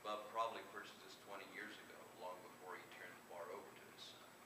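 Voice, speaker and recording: A man's voice: deep voice, little pitch movement, fast talker. A man reading first-person horror fiction aloud at a live reading, in the manner of an author presenting his work. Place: indoor room at a convention reading. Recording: roomy, distant live recording with clear noise.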